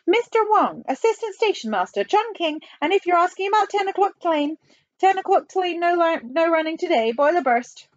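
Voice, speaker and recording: A polite, unhelpful male official with a Chinese accent, put on by a woman narrator. The voice is high and lilting with broken phrasing, a storybook caricature recorded dry. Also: very animated, normal speed, no echo, muffled recording. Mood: flat refusal.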